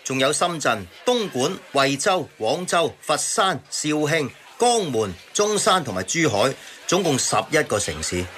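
An actor playing a cynical, needling know-it-all neighbour in a satirical radio drama, with a Hong Kong Cantonese accent. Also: male voice, fairly high pitch, big pitch swings, a little slow, few pauses, a bit bright, slight background noise. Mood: cynical, explaining.

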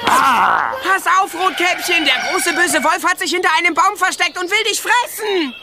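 High-voiced naive little cat. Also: female voice, lively intonation, moderate pace, very noisy. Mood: alarmed, excited. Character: small naive, excitable cat, anxious and well-meaning. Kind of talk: children's radio play acting. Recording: loud music behind.

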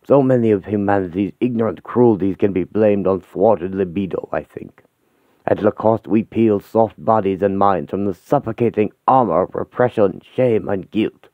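A man's voice: fairly low pitch, moderate pace, no echo, natural voice. A man doing a lecherous old French marquis. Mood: suave, relishing. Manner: suave, relishing, grandiose. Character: ancient, lecherous French aristocrat, suave and grandiose. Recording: tinny phone-like sound.

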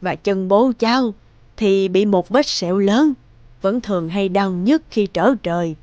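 A woman voicing a gentle, devoted grandmother who tells a family tale in a measured, tender cartoon storytelling voice. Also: mid-range voice, dry recording, highs cut off, close to an everyday voice. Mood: gentle, nostalgic. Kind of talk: animated storytelling.

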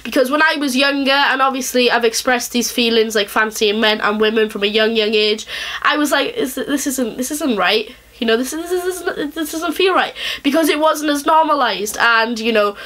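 Young woman, thick Welsh accent, fairly high voice, fast chatty rant, webcam in a bedroom. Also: fairly bright tone. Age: young adult. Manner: fast, chatty, with filler words. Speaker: vlogger. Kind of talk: casual rant to camera. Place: small bedroom, indoors.

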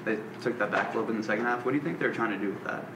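A man's voice: lowish voice, rapid-fire. A man reporter asking rambling questions at a press conference, picked up from a distance. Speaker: sports reporter. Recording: distant, echoey, noisy room mic.

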